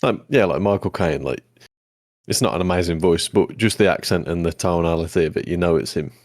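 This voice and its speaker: A man, co-hosting a casual podcast chat, easygoing and swearing freely, with a deep voice that turns mumbly when relaxed. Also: dry recording, clean studio sound. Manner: easygoing, swears casually, quick agreeing "yeah"s. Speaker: podcast co-host.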